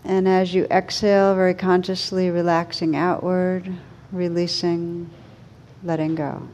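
A woman meditation teacher leading a guided meditation in a gentle, soothing manner, with unhurried pauses between short phrases. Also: fairly low pitch, flat delivery, slight background noise.

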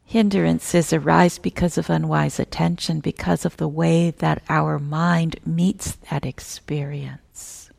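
A woman teaching a guided meditation in a measured, gentle manner, with long pauses between phrases. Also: deep voice, gravelly.

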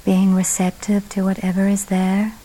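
A woman leading a calm guided meditation as a teacher, speaking in sparse, unhurried phrases with soft, breathy endings. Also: mid-range voice, low-quality recording.

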